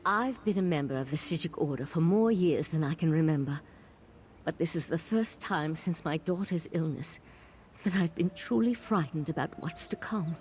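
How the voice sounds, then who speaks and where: A woman's voice: sits low, expressive, moderate pace, darkish tone, a little hiss or hum. A woman playing a weary, sincere elf mage, a veteran of a mystic order, in a fantasy video game. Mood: reflective worry.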